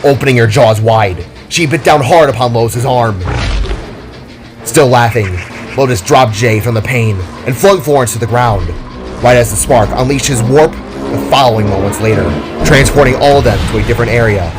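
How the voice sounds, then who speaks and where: Male storyteller, mid-pitched, delivering scripted dramatic narration with light music underneath. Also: normal speed.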